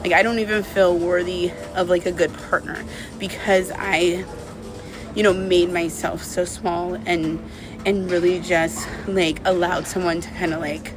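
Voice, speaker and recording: A woman's voice: on the low side, little pitch movement, unhurried, music in the background. A woman talking casually and confessionally to camera as a vlogger, candid and rambling, with lots of "like" and "you know". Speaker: vlogger telling a personal breakup story.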